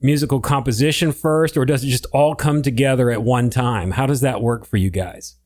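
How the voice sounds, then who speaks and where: An American man hosts a podcast interview in an easy, chatty way. His voice sits at a middle pitch, and he laughs often. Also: moderate pace, a little mellow. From Connecticut, USA. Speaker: podcast host. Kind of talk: casual interview chat.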